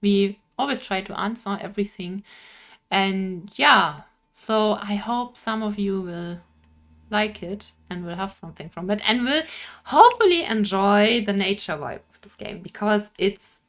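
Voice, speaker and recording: A woman's voice: medium pitch, measured pace, a bit bright. Young woman, Bavarian German accent, indie game developer, casual to-camera talk, often laughs, searches for words.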